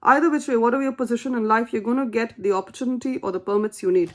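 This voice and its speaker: A woman with a somewhat high voice gives a tarot reading in English, which is not her first language. She speaks earnestly and encouragingly, repeating her key phrases for emphasis. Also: rapid-fire. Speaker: tarot reader.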